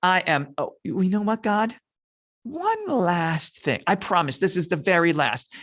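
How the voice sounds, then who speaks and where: A storyteller voicing a nervous, stammering man who eagerly agrees, then hesitantly backtracks in animated oral storytelling. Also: medium pitch, natural voice. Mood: pleading. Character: nervous, stammering Moses, stalling with endless small questions.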